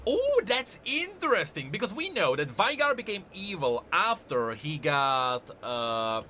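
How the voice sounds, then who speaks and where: A man giving informal explanatory commentary on game lore, animated and halting as he thinks aloud. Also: high voice, slight background noise.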